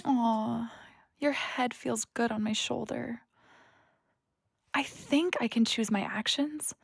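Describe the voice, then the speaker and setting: An earnest young woman in an audio drama, restless and anxious. Her voice is fairly high and takes its time, recorded close and dry. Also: slow pace, creaky, husky, dry recording, exaggerated voice. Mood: affectionate. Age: young adult. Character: restless, anxious young daughter longing for adventure. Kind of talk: audio play acting.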